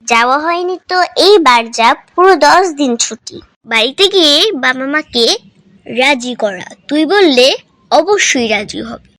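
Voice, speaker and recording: A woman's voice: sits high, expressive, unhurried, fairly bright tone, no echo. A woman voicing a cheerful cartoon schoolkid. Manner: cheerful, encouraging. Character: cheerful school friend, excited about holidays. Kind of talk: cartoon voice acting.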